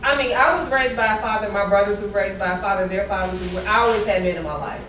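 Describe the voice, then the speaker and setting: Soft-spoken woman in an echoey room. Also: mid-range voice, fast. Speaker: panelist in a women's discussion circle. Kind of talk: casual group discussion. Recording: echoey room picked up from a distance, faint background hum.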